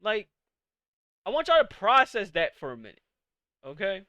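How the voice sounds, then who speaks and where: Male host, late 20s, high crisp voice, dry close mic, profane exasperated streamer rant. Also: wildly swooping pitch, normal speed, sharp tone, no room sound. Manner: exasperated, profane, rhetorical questions. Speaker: podcast host. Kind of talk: live-streamed casual rant.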